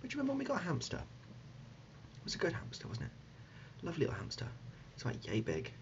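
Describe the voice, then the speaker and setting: A man playing an absurd, deadpan dad in an ASMR comedy roleplay, speaking haltingly and gently, often dropping to a whisper. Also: a little high, voice pushed up. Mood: fond deadpan. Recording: dull lo-fi audio, loud background noise.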